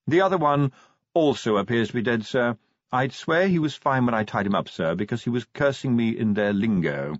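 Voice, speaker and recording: A man voicing an unflappable formal butler, deferential, smooth and poker-faced in an audiobook story. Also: mid-range voice, lively intonation, moderate pace, no echo, lo-fi sound, voice pushed up, natural voice. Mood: poker-faced, mild.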